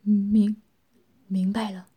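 Woman acting a husky, frightened, pleading male captive. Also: medium pitch, level tone, takes it slowly, dark tone. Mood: cowed. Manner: pleading, servile. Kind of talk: dramatized audiobook dialogue.

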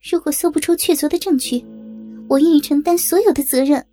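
Woman acting an urgent, high-pitched woman investigator. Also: fairly quick, dark tone, faint music behind, close to an everyday voice. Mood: insistent. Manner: insistent, emphatic. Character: urgent, confident young woman investigator pleading her case. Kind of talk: audio drama acting.

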